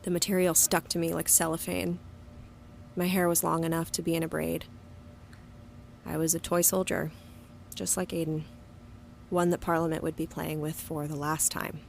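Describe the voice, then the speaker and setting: Casting: actress as tough, stubborn female agent for audio drama, lowish voice, faint ambience behind her. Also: little pitch movement, normal speed, gravelly, pauses often, slight background noise. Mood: somber narration. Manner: blunt, terse, stubborn. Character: defiant, battle-hardened young woman agent narrating her story. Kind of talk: audio drama dialogue and first-person narration.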